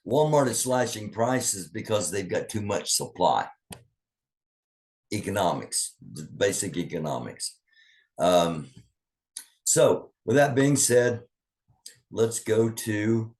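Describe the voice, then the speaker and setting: A man's voice: on the low side, a little mellow. A man hosting an online news commentary show in a casual, rambling way, full of fillers and restarts. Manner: rambling, thinking aloud with many fillers.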